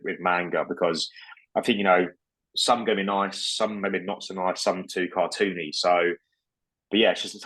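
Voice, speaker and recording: A man with a very low voice co-hosts a podcast. He talks in a relaxed, hesitant way, with lots of ums and you-knows. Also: low-quality recording. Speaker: podcast co-host. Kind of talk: casual chat about trading cards.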